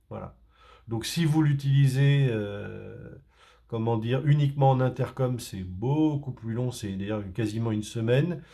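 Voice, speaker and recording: A man's voice: medium pitch, warm tone. A man from France doing a casual unboxing, talking hesitantly with many fillers and restarts. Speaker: tech reviewer.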